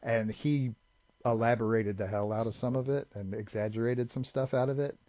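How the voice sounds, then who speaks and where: A man's voice: mid-range voice, steady tone, normal speed, dark tone, no echo. A man co-hosting a casual podcast chat, explaining things at length and wandering into tangents. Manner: rambling, tangent-prone lore explainer. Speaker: podcast co-host. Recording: slightly dull lo-fi audio with faint hiss.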